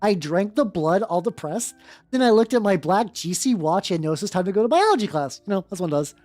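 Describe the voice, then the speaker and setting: Lively, swooping woman streamer. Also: mid-range voice, a little fast, dry recording. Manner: sweary, slangy, wry asides. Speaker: streamer, vtuber host. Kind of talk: casual live-stream chat and comedic fanfiction reading. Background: background music.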